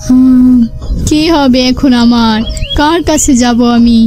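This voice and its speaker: A woman's voice: sits high, fairly even intonation, takes it slowly, warm tone, faint music behind. A woman voicing a grieving young wife under a curse in a cartoon, plaintive and lamenting. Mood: sorrowful, pleading. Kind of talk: cartoon character acting.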